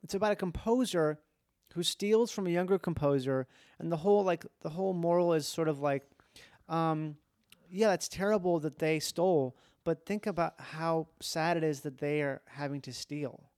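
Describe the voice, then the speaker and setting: A man's voice: fairly high pitch, normal speed, darkish tone, no room sound, studio quality. A man chatting casually as a podcast host, informal and reflective, swearing freely. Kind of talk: casual chat.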